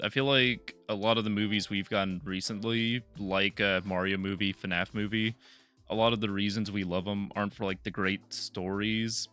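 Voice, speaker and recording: Young man, lowish voice, bright edge, explaining step by step, faint music. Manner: explanatory, building arguments step by step. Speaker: podcast co-host. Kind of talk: casual chat.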